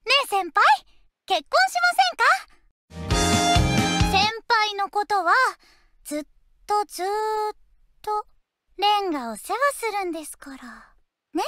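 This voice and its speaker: A woman's voice: extremely high pitch, big pitch swings, unhurried, long pauses, pushed and bright, soft background music, heavily acted. A woman playing a sweet, clingy, obsessively devoted schoolgirl in a cute, coaxing anime game style. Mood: cutesy, adoring. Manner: cutesy, coaxing.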